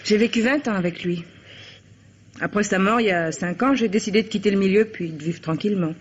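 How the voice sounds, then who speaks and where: Actress as world-weary café owner, muffled old radio. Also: female voice, medium pitch, fairly quick, natural voice. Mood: wistful, confiding. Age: 40s. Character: world-weary forty-year-old café owner, former gangster's companion. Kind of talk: 1950s French radio drama acting. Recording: muffled old radio recording, background hiss.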